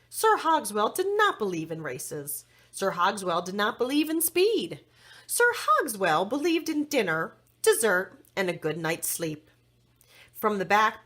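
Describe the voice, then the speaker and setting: Animated, slow-paced woman storyteller. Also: medium pitch. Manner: dramatic, deliberate phrasing for young listeners. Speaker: children's storyteller. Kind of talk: expressive read-aloud storytelling.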